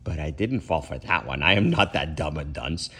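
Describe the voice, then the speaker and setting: A man's voice: a bass voice, lively intonation, normal speed, a bit bright, breathy edge, faint music behind. A man reading a children's storybook aloud expressively, as a parent would for kids.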